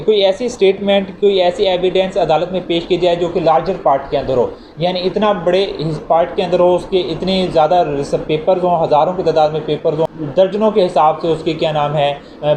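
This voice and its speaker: Echoey, mellow Pakistani man giving a lecture. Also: a little high. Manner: didactic, repeats phrases to explain. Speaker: law lecturer. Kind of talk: explanatory lecture with examples, mixing Urdu and English. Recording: echoey room with faint background noise.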